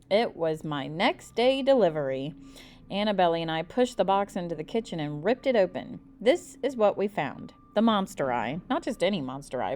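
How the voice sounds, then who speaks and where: Animated, slightly low-voiced woman reading a storybook. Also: slight background noise. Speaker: storybook reader. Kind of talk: expressive children's book read-aloud.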